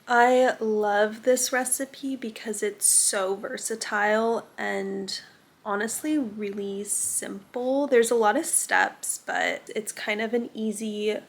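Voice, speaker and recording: A young woman chatting casually to camera in her vlog, a little raspy from a cold and saying "like" a lot. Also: mid-range voice. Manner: chatty, says "like" often. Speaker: lifestyle vlogger.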